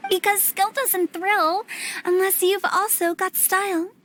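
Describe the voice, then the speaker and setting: A woman's voice: extremely high pitch, unhurried, a little hiss or hum, rough recording. A woman voicing a playful, mischievous young funeral director with a teasing way of talking, in a video game cutscene. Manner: teasing, lively, rhyming banter. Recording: game audio with soft music behind.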